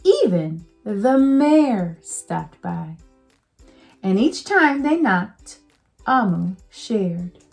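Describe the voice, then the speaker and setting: A woman teacher reading a picture book aloud to children, slow and expressive. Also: mid-range voice, gravelly, faint music behind.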